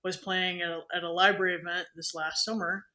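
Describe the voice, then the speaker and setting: A woman who is a band conductor, a guest on a podcast, telling anecdotes in an animated way and acting out quoted speech. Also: on the low side, fairly quick. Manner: animated storyteller, acts out quotes. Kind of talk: casual conversation, anecdotes.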